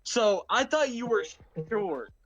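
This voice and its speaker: A man's voice: high voice, big pitch swings, slow, a bit bright, dry recording, dull audio. Rambling Southern man chatting on a call. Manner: rambling, lots of "like" and restarts. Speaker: co-host. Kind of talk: casual chat.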